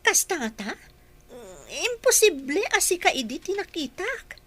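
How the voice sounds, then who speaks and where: Radio drama: woman as haughty, challenging Ilocano young woman, very lively high voice. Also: normal speed. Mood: incredulous. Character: vain, confrontational young woman who judges looks.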